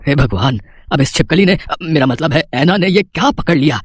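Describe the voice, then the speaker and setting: A man's voice: on the high side, expressive, normal speed, dry recording, studio quality. A man voicing a middle-aged father-in-law in a story, bemused and stammering, muddling his words. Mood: flustered.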